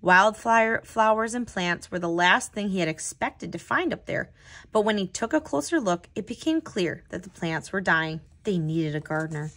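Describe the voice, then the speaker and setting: A woman with a mid-pitched voice reads a storybook aloud for children. She phrases clearly and tells it expressively, in the manner of a tutor hosting story time. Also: lively intonation, normal speed, a bit bright.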